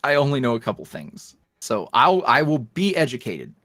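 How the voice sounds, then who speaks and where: Man, mid-pitched, casual rambling chat, filler-heavy, dry sound. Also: sing-song, normal speed, a bit bright, dry recording. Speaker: podcast guest, ASMR roleplay creator.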